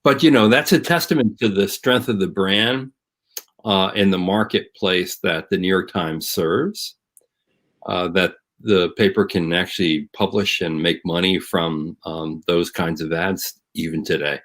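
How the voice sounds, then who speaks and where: A man with a fairly low voice comments as a guest on a talk show. He builds his thoughts phrase by phrase in a measured, appreciative way, keeping it conversational. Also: fairly bright tone.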